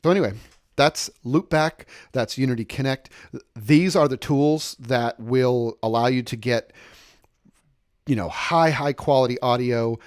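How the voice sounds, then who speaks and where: A man with a medium-pitched voice walks listeners through software on a shared screen. He explains informally, one step after another, and circles back to stress important points. The recording is dry, with very little room sound. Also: a little slow, no room sound. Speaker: software instructor and demonstrator.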